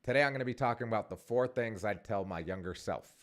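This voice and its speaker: Low-voiced guy in his 40s, chatty vlog ramble, lots of ums and restarts. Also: quick pace. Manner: rambling, conversational, many fillers and restarts. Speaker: vlogger and podcast host. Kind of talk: casual personal vlog.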